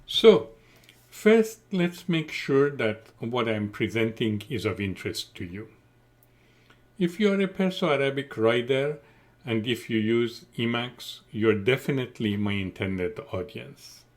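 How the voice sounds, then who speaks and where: A middle-aged man, a Farsi speaker, giving a formal, measured scripted technical conference presentation. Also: medium pitch, expressive. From Iran. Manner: measured, deliberate, formal delivery.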